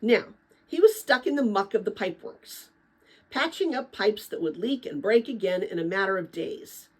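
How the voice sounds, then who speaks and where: Female storyteller for middle-grade read-aloud. Animated intonation, husky edge, dramatic but conversational. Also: mid-range voice, normal speed. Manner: expressive, chatty when commenting to listeners. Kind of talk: dramatic children's book read-aloud with casual asides.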